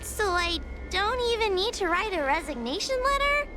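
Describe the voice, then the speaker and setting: A woman's voice: extremely high pitch, sing-song, moderate pace, music in the background. An actress playing a timid, anxious but earnest young girl in an anime-style game, speaking hesitantly. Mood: timid, unsure.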